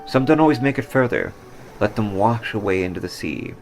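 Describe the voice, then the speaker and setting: A man reading a war story, playing a stern, fatalistic Japanese army captain who commands gravely. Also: lowish voice, very animated, a little fast, soft background music, voice pushed up. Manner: grave, barking orders.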